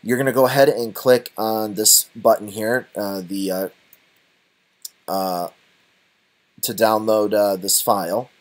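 A man with a fairly low voice guides listeners through a software walkthrough. His delivery is unscripted and halting, often broken up by uhs as he explains each step. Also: fairly even intonation. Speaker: tutorial presenter. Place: voice-over for a screen recording.

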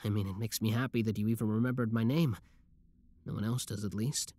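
A man's voice: lowish voice, steady tone, a little fast, dark tone, no echo. A man playing an obsessive, lovestruck young schoolboy villain in a roleplay audio drama, talking intimately to the listener. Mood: shy, hesitant. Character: shy schoolboy turned smug, obsessive yandere young villain.

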